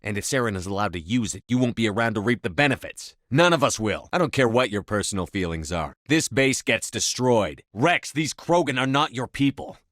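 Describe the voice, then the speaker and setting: An actor playing a tough, decisive space-marine commander in a video game, speaking in a clipped, authoritative military manner. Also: male voice, mid-range voice, animated, fairly quick, hardly any pauses, a bit bright, no echo, studio quality, natural voice. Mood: stern, forceful. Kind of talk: video game dialogue lines.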